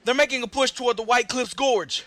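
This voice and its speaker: A man playing a brisk, suspicious military captain in an audio drama, reporting in a clipped, formal way. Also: very high-pitched, fairly bright tone, no echo. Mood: dutiful, urgent. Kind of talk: scripted audio drama acting.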